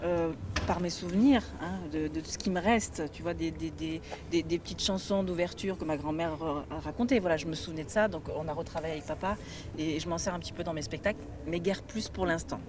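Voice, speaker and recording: A woman's voice: low voice, brisk. Chatty young woman storyteller, noisy outdoors. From Lebanon. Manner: chatty, filler-heavy. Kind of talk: interview answers.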